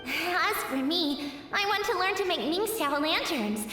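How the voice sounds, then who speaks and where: A woman's voice: extremely high pitch, animated, measured pace, over music. A woman voicing an earnest young girl who wants to become a lantern artisan. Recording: echoey, distant, long reverb.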